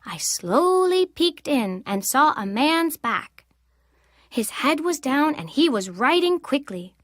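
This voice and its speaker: High, lively woman reading a children's story. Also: takes it slowly, dry recording. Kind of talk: expressive read-aloud storytelling as a young girl in first person.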